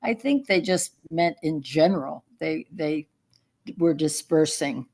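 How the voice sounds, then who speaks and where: A woman's voice: deep voice, expressive, unhurried, a little mellow, husky. A woman in a study group on a video call, explaining dates in a matter-of-fact way. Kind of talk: casual group discussion.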